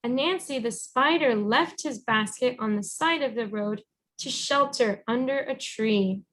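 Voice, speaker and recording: A woman reading a storybook aloud as a teacher, encouraging and pausing to guide with questions. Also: fairly high pitch, a bit bright, poor audio. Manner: encouraging, instructive.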